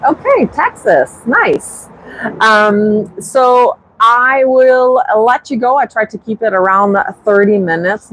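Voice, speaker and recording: A woman's voice: mid-range voice, fairly bright tone, no echo, low-quality recording. A woman from Quebec with a French accent, English her second language, hosting a casual livestream and chatting to viewers with frequent restarts. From Quebec, Canada. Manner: unhurried, restarts often, reads viewer comments. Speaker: bird-keeping channel host.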